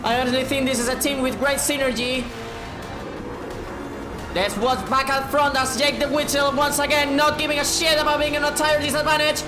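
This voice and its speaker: Woman, high voice, sim race commentator, sore strained throat, swears, shouts, echoey room, music behind. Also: fairly even intonation, normal speed. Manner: swears a lot, shouts at big moments, drops to a low tone to save her voice. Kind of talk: excited sim racing commentary. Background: background music.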